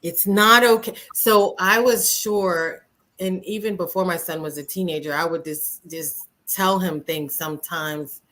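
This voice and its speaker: Emphatic lower-voiced woman host. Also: steady tone, a little slow, fairly bright tone. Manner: emphatic, poses rhetorical questions to listeners. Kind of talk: casual live-stream chat.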